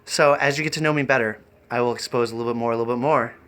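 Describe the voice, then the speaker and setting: A man chatting off the cuff on a livestream, rambling and halting in an eccentric way. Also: mid-range voice, steady tone, fairly bright tone, smooth. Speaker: live streamer and variety performer. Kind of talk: improvised livestream chat.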